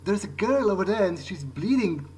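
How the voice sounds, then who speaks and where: A man with a slightly high voice improvises as his game character, thinking out loud. He is hesitant and repeats himself. Background noise and ambience sit behind him. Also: animated, normal speed, rough recording. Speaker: gameplay host, role-playing his character.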